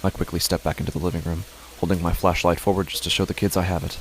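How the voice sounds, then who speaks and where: A man narrating a first-person horror story in a measured, tense way. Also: low-pitched, little pitch movement, slight background noise, rough recording.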